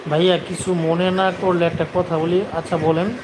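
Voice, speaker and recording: A young man talks casually to viewers as a live streamer. His voice sits a little high for a man. Clear background noise runs through the recording. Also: level tone, normal speed.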